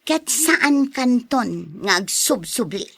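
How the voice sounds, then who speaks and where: A woman's voice: on the high side, big pitch swings, slow, a bit bright, husky, exaggerated voice. An actress with a Filipino accent playing a resolute young woman leaving her lover, with dramatic radio drama delivery. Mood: apologetic, firm.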